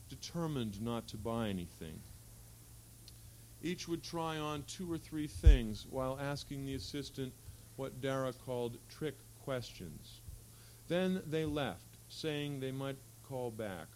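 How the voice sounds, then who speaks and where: Male literary reader, medium pitch, measured and articulate narration of fiction, live recording with slight background hum. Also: a little mellow, low-quality recording. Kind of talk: reading literary fiction aloud. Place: live public reading at an event. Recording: faint hum and background noise.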